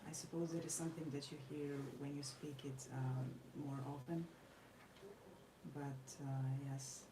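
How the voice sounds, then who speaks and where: A tired woman from Croatia with a Croatian accent, chatting casually while she draws, speaking in measured, formal phrases with frequent pauses. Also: sits low, fairly even intonation. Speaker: artist vlogger. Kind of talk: casual chat while drawing. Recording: roomy, distant mic with noisy ambience.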